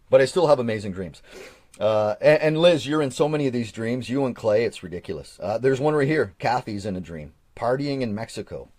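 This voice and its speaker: A man's voice: medium pitch, darkish tone, dry recording. A man in his forties chatting casually on a live stream, reading from an old journal and rambling with frequent restarts. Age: 40s.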